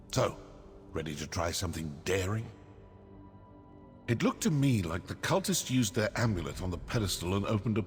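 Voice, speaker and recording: Low, lively, wry game adventurer. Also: male voice. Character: shrewd, daring male adventurer companion, wry and defiant. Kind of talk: video game character dialogue. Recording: long reverb, music behind.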